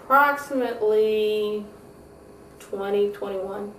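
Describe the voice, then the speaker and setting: A woman in her late thirties answering interview questions, halting and correcting herself as she goes. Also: mid-range voice, fairly bright tone. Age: 30s. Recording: roomy, distant mic, faint noise.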